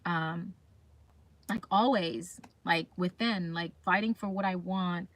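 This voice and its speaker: A woman's voice: fairly low pitch, fairly even intonation, slow pace, fairly bright tone, no room sound. Chatty woman with a dry, close sound. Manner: chatty, reads comments aloud and puts questions to viewers. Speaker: livestream host chatting with viewers. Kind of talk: casual live chat.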